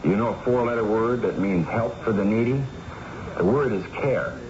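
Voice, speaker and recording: A man's voice: lowish voice, moderate pace, a crowd in the background. A man reading a scripted charity appeal as a public service radio announcer. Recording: muffled, noisy vintage radio recording.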